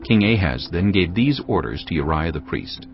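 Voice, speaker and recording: A male narrator reading scripture in a measured, grave storytelling manner. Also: deep voice, very animated. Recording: dull lo-fi sound over cinematic music. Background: cinematic music.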